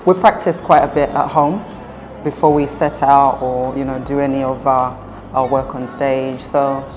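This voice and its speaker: Deep-voiced woman from Uganda. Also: fairly even intonation, normal speed, rough recording. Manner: soft-spoken, hesitant, many fillers. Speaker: singer and pianist, one half of a duo. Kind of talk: relaxed interview talk.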